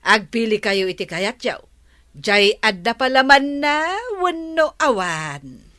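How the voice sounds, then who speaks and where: An actress playing a fretful, hard-up village wife with an Ilocano accent in a comic radio drama. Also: female voice, on the high side, expressive, slow, sharp tone, dry recording, studio quality, heavily acted. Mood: worried, chatty.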